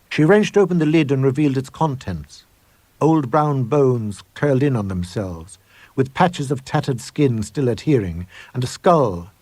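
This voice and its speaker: Man voicing a haunted, husky gentleman explorer. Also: medium pitch, dry recording. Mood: tense, uneasy. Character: haunted gentleman explorer recounting horror in his journal. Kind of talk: dramatic scripted horror monologue.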